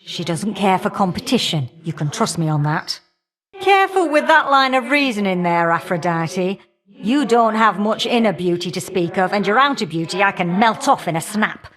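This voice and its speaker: A woman's voice: mid-range voice, sing-song, normal speed, a bit bright. A woman voicing a feisty, folksy elder goddess of flame in a video game, sassy and affectionately teasing. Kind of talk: video game character dialogue.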